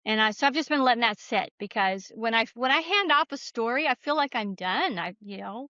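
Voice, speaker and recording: A woman writer talks as a guest in a casual interview. Her voice is somewhat high and bright, and she is informal and self-effacing. The sound is dry but a little muffled and lo-fi. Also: animated, fairly quick, no room sound.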